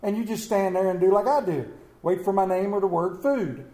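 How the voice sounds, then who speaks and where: A man preaching a Mother's Day sermon, folksy and storytelling, with dramatic slow pauses on quoted lines. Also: high-pitched, mellow, slight background noise. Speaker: pastor. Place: church sanctuary. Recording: echoey church room.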